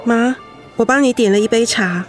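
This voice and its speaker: A woman acting a gentle, dutiful young daughter-in-law in a radio drama. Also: fairly high pitch, normal speed, faint music behind, lightly acted. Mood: subdued. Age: young adult.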